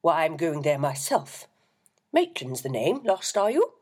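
Woman voices a deep, husky old demon-clergyman for a storybook, booming, then sinking to a loud hissing whisper. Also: big pitch swings, measured pace, full character voice. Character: ancient evil forest spirit posing as booming old male clergyman.

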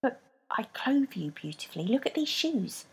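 A woman voicing a timid traveller who whispers softly to her own shoes. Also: fairly high pitch, sing-song, moderate pace, breathy edge, voice pushed up, heavily acted. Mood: hushed. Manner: hushed, whispered.

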